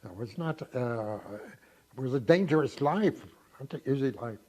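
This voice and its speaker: A man in his nineties giving an oral history interview, reminiscing in a halting way with frequent pauses and restarts. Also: medium pitch, lively intonation, a little mellow, muffled recording. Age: 90s.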